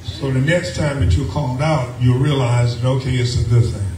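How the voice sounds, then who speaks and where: A middle-aged man with a Southern American accent preaching a call-and-response sermon, building from steady talk up to cries of praise. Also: medium pitch, moderate pace, a little hiss or hum. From United States. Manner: preaching, rising to shouts of praise, repeats phrases. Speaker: pastor. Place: small church sanctuary, indoors. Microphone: handheld mic, filmed from the pews. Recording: roomy church sound from a distance with music behind.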